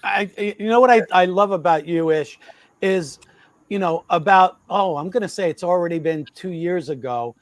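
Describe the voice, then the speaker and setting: A man co-hosting a casual interview show, enthusiastic and halting as he repeats words while building up to a question. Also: high-pitched, no room sound. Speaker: show co-host.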